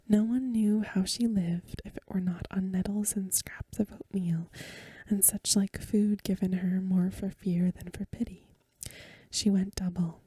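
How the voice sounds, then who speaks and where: A woman reads a story in a hushed, very breathy whisper. Her tone is soft and mellow, with a gentle delivery suited to ASMR. The sound is close and dry, with no room around it. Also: medium pitch, dry recording. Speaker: ASMR reader.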